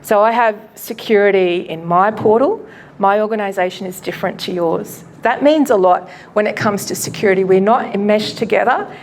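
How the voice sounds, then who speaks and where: A woman pastor giving a live presentation to an audience at a launch event, loud and chatty, often laughing and poking fun at herself, her microphone cutting in and out. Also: medium pitch, normal speed, a little hiss or hum. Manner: loud, chatty and self-mocking; laughs often while talking. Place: event venue.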